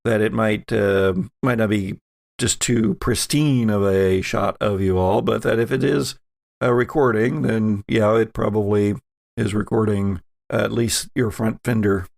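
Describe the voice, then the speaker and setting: Male game master, conversational, slow delivery, gravelly, close dry sound. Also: on the low side, fairly even intonation, darkish tone, no echo. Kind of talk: narrating a tabletop roleplaying game.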